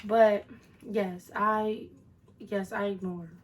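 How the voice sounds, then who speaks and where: A woman's voice: mid-range voice, level tone, slow pace. A woman of twenty-one answering viewer questions in a casual vlog, chatty with sassy asides to her viewers. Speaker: vlogger.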